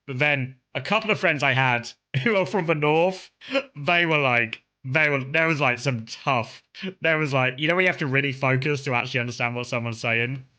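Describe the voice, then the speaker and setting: A man's voice: mid-range voice, normal speed, sharp tone. A young man from Kent with a southern English accent, chatting casually and rambling to his stream viewers, full of fillers and restarts. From England (Kent).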